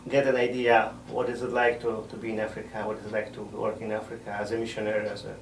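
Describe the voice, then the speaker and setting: A middle-aged man, a missionary priest answering interview questions in a measured way, often pausing to find words. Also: lowish voice, monotone, slight background noise. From Poland. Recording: roomy sound from a distant mic.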